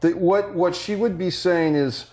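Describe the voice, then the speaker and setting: A man hosting and directing a casual table-read discussion, conversational and halting, repeating words as he thinks. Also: high voice, darkish tone. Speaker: host and director.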